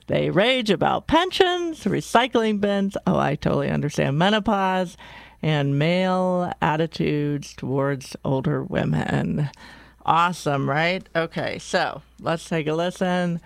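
An older woman with a naturally deep voice hosts a community radio show, talking casually and eagerly. Her tone is smooth and resonant with a gravelly edge, and the sound is close and dry with no room behind it. She wanders off track and corrects herself as she goes. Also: expressive, slow, no echo. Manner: rambling and enthusiastic, often correcting herself. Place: radio studio.

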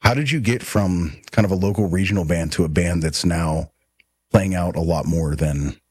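A man's voice: deep voice, normal speed, darkish tone, husky, dry recording, studio quality. A man in his forties hosting a casual band interview in a living room, rambling and friendly with many restarts. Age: 40s. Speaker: podcast host.

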